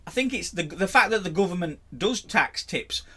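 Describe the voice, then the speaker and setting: A man co-hosting a relaxed podcast chat, his voice sitting a little high for a man. He talks bluntly and stumbles over repeated words as he starts his points. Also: moderate pace, slightly rough. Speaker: podcast co-host, tattooist. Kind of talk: casual chat.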